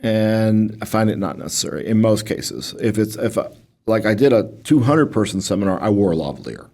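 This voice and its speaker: A man with a booming voice, a financial advisor talking as a podcast guest in a lively, goofy, storytelling way. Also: a little fast, vocal fry. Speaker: guest, financial advisor who runs seminars. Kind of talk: casual podcast conversation.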